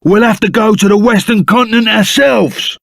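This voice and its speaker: Casting: a gruff, loyal Cockney rogue for a game, pitched fairly high with gravelly creak, blunt and slangy. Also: male voice, normal speed, fairly bright tone, no echo, studio quality, full character voice. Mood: brisk, blunt. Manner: rough colloquial slang, blunt and emphatic. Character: gruff, loyal reformed bandit sidekick, plain-spoken and excitable. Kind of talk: video game character voice acting.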